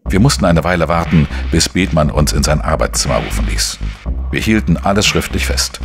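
An actor playing a world-weary, wry male investigative journalist, narrating a crime audio drama in a dry, measured way. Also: very deep voice, very animated, gravelly, full character voice. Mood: weary, matter-of-fact. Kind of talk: scripted first-person crime drama narration. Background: music.

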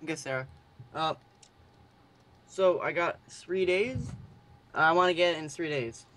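Young man, fairly high voice, halting, stumbling questions, casual phone conversation. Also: fairly bright tone. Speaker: prank caller. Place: online voice call.

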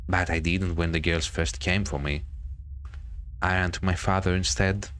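A man's voice: low-pitched, flat delivery, moderate pace, fairly bright tone, slight background noise. A man reading the part of a vain, bitter ghost of a hanged girl in a horror visual novel, with dramatic storytelling. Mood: brooding.

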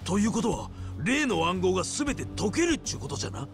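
A lively, brash Hiroshima gangster. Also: male voice, high voice, fast, vocal fry, heavily acted. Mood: eager. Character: rough, eager, brash yakuza underling. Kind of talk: video game cutscene acting. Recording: prominent game music behind.

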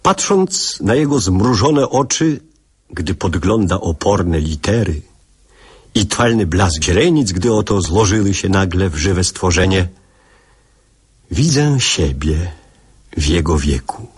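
A husky, unhurried reminiscing father. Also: deep voice, sing-song, full character voice. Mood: wistful. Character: wistful father narrating memories of his childhood. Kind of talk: literary radio play narration.

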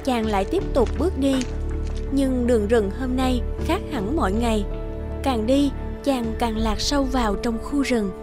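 Casting: female storyteller for animated fairy tale, scripted narration, faint music behind. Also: a little high, normal speed, lightly acted. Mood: measured.

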